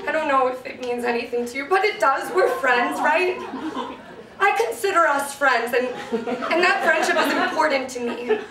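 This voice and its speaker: A woman's voice: very high voice, expressive, a little slow, distant mic. A woman acting as a sweet, gushing neighbor who adores a dog, in a live comedy sketch. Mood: earnest, wounded. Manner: cooing, effusive baby talk to a dog. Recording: echoey stage from afar, audience crowd.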